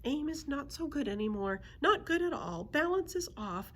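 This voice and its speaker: Woman voicing an anxious, childlike, high-pitched griffin. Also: very animated, normal speed, a bit bright. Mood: worried. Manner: fretful, repeats short phrases. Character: anxious, clumsy, childlike male griffin with simple speech. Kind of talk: children's storybook character voice.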